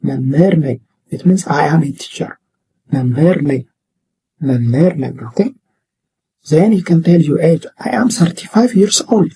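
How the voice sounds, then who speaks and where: A man teaching a beginner language lesson, repeating words slowly and checking in often with "okay?". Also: a little high, creaky, mellow, breathy edge, rough recording. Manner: repetitive, checks in with "okay?" and "what?". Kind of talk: classroom-style language lesson.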